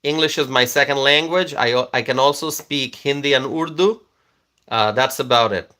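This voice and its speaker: A man's voice: medium pitch, moderate pace, bright, forceful tone. A man whose first language is Bengali, casually chatting on a livestream and reading out viewer comments, with frequent fillers and repeated words.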